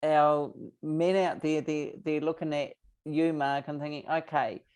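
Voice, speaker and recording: Soft-spoken New Zealand woman host. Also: low-pitched, steady tone, a little slow, clean tone. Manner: soft, supportive, hesitant restarts. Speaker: podcast host. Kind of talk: gentle interview chat.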